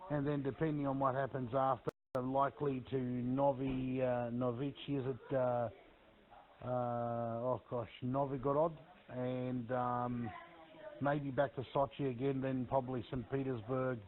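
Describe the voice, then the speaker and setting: Male, halting amateur radio operator in casual chat, mid-pitched, heard through tinny narrowband radio. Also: level tone. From Australia. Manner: halting, hesitant delivery. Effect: radio transmission. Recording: narrow phone-like radio link audio.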